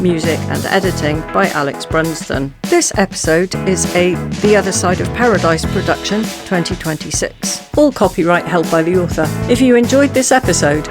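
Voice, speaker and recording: A woman reading scripted podcast credits as an announcer. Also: lowish voice. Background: loud music with guitar and drums.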